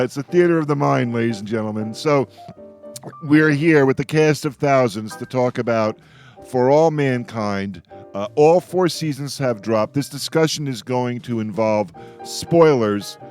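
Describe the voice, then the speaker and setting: A man's voice: medium pitch, unhurried, a little mellow, soft background music. An older man hosting a casual radio roundtable in a jokey, rambling way. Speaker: radio show host. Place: radio show with remote guests.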